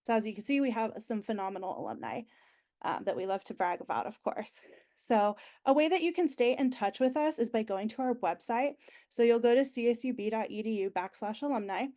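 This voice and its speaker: A woman's voice: on the high side, expressive, fairly quick, warm tone. Chatty young woman with a nasal voice. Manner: chatty and enthusiastic, frequent ums and likes. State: recovering from being sick. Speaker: university alumni relations staff presenter. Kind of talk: orientation presentation.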